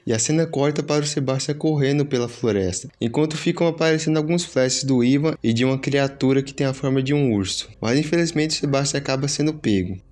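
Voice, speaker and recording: A man's voice: mid-range voice, fast talker, hardly any pauses, darkish tone. A man with a Brazilian accent narrating horror story recaps in an informal, explanatory, conversational way. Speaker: YouTube narrator.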